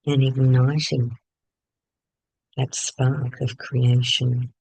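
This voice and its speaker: A man leading a guided meditation in short phrases with long pauses between them. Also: mid-range voice, little pitch movement, a little mellow, dry recording, low-quality recording.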